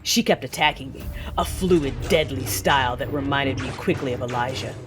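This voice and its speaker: A woman's voice: rumbling low, normal speed. A woman playing a cold, vengeful female Sith lord in an audio drama, controlled and menacing as she narrates her deeds. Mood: brooding. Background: music.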